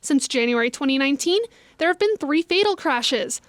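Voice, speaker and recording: A woman's voice: high-pitched, expressive, moderate pace, no room sound. A woman reporting local news in a scripted narration.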